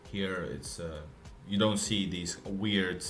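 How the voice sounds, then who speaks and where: A man with a Russian accent, live streaming and chatting casually with viewers, speaking in a deliberately lazy, relaxed way. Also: low-pitched, reverberant. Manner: intentionally lazy, relaxed way of talking.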